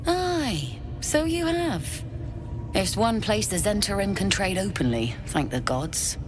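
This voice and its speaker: A woman's voice: medium pitch, big pitch swings, slow, music in the background. A woman playing a shrewd, cheery, mercenary merchant in a fantasy video game. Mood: wry, mercenary.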